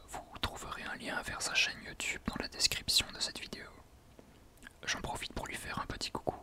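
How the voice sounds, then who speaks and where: Man whispering softly, ASMR style. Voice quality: breathy, unvoiced whisper. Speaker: ASMR video creator, host. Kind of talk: whispered ASMR talk to listeners.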